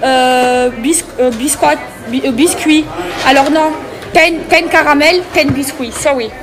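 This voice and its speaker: A young woman from France, vlogging casually as she shops and chats, switching into halting broken English. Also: high-pitched, crowd noise. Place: busy indoor shops.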